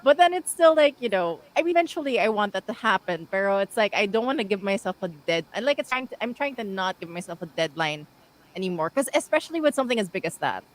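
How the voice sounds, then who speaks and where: A woman's voice: mid-range voice, very animated, quick pace, poor audio. Chatty Filipina radio host in her thirties. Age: 30s. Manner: chatty, laughs easily, Taglish asides. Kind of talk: casual radio interview.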